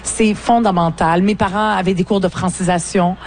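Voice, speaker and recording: Guest: Québécois woman, 40s, Arabic first language, earnest and reflective, halting with fillers, faint background noise. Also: mid-range voice, steady tone, darkish tone. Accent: Québécois French. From Lebanon. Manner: earnest, reflective, many hesitations. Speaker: politician and author guest. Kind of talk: interview at a book fair. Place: book fair.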